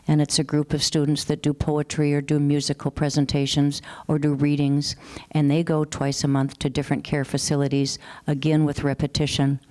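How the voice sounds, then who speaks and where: A woman educator with a Minnesotan accent speaking on a panel, steady and matter-of-fact as she lists examples. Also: low-pitched, normal speed, hardly any pauses. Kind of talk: panel discussion remarks. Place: conference session room.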